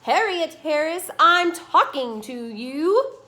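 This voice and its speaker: A woman voicing a patient storybook mother straining to stay calm with her child, with slow, sing-song emphasis. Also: high-pitched, full character voice. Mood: strained patience.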